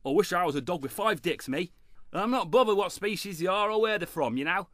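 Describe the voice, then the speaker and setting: A man puts on a cheeky dog who fancies himself a ladies' man. His delivery is laddish and slangy, lively in pitch and bright in tone, recorded dry for radio comedy. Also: brisk, dry recording. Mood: eager, cheeky. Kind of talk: comedy radio play acting.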